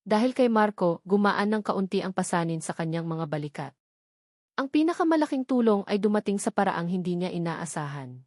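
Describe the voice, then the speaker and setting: Female Filipino narrator for dramatic romance audio story, dry recording, average pitch. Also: lively intonation, brisk, dry recording.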